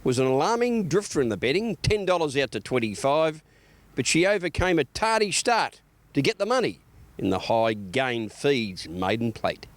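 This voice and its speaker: A man's voice: medium pitch, animated, normal speed, no echo. An Australian man narrating a scripted horse racing report as a sports reporter.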